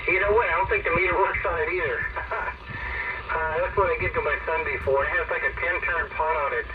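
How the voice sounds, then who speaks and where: A man's voice: fairly high pitch, steady tone, brisk. Man over a noisy CB radio. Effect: two-way radio transmission. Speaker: CB radio operator. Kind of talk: casual radio chat. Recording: noisy muffled CB radio speaker, distant.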